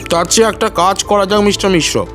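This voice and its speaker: A man playing a calm, firm, courteous gentleman detective in an audio story, speaking politely and with assurance. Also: high voice, fast talker. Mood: smooth, persuasive. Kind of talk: Bengali audio story acting. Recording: music behind the voice.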